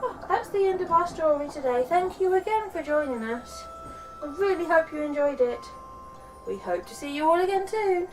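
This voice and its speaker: Animated woman reading a bedtime story. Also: very high voice, recorded from a distance, faint music behind, low-quality recording. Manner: expressive, deliberate, dramatic storytelling. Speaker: children's storyteller and host. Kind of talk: scripted bedtime story narration.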